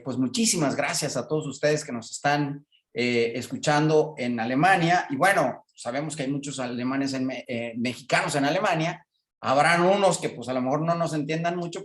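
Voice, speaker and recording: Male radio host, fairly high voice, loose rambling delivery, echoey room. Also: normal speed. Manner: rambling, many fillers. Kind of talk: radio interview.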